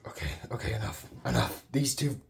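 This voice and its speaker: A man giving a casual, unscripted hobbyist demo, muttering haltingly to himself. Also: medium pitch. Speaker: hobbyist demonstrating weather radios. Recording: clear background noise with clicks.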